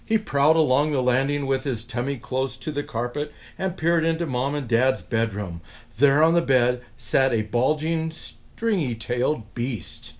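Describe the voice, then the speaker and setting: A man's voice: mid-range voice, a little slow. A man in a Santa persona reading a children's picture book aloud, with playful asides to his young listeners.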